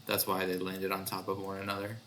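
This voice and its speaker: A man giving a casual demo of his own software project, thinking aloud in a hesitant way. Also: deep voice, monotone, brisk. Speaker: developer presenting own project. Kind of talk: casual screen-recorded demo narration.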